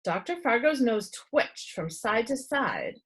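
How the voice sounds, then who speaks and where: A woman reading a children's book aloud as expressive storytelling narration. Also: on the high side, takes it slowly, husky.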